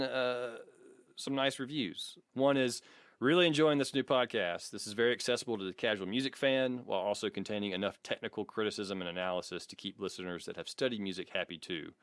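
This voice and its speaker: A man's voice: on the low side, fairly bright tone, dry recording, clean studio sound. A man co-hosting a podcast in casual, joking, sweary chat, reading out listener comments. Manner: profane, joking. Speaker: podcast co-host.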